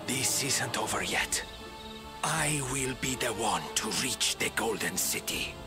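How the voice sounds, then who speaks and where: An actor playing a bitter, prideful warrior son in a video game, brooding and terse, with halting, grim speech. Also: male voice, medium pitch, animated, music in the background, close to an everyday voice. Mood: bitter resolve.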